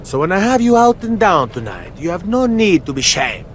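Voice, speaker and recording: A man's voice: high voice, very animated, moderate pace, a little hiss or hum. A man playing a goofy, proud comic wrestler in a video game, boasting pompously in broken English. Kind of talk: video game cutscene acting.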